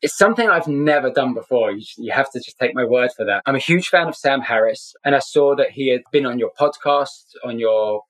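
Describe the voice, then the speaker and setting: A middle-aged man with a London accent shares a personal story as a podcast guest. His voice sits at an average pitch. He speaks earnestly and repeats himself to drive a point home. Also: animated, brisk, few pauses, a bit bright. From Scotland, grew up in London. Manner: earnest, emphatic repetition.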